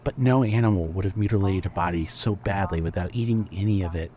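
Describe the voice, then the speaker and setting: A man narrating scripted fiction as a storyteller, in a measured manner. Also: deep voice, darkish tone, slight background noise.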